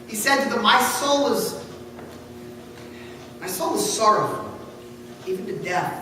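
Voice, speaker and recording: A woman reading scripture aloud in church, solemn and deliberate, with dramatic phrasing. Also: mid-range voice, expressive, bright, forceful tone. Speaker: scripture reader. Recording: echoey hall from far off, faint noise.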